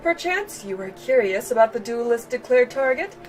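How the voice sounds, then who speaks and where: Investigating reporter, played by a woman: fantasy game, higher voice, formal, wordy, prim delivery. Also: sing-song, moderate pace, recorded from a distance, soft background music, poor audio. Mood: composed, self-assured.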